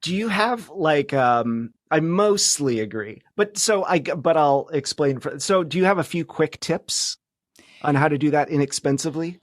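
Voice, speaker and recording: A man's voice: on the high side, animated, moderate pace, no room sound, clean studio sound. A middle-aged American man chatting casually as a podcast guest, reflective and loosely rambling. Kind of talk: casual conversational interview chat.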